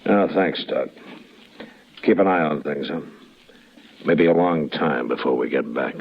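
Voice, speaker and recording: Stern American western lawman, extremely low voice, measured, terse, old radio drama, music behind. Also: muffled recording. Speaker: lead character and narrator. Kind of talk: old-time radio western acting and narration.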